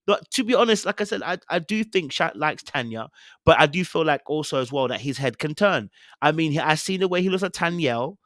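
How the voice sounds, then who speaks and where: A young man with a London accent chatting casually as a podcast host, giving opinionated, slangy reality TV commentary with animated, theatrical retellings. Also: on the high side, a little fast, dry recording, studio quality.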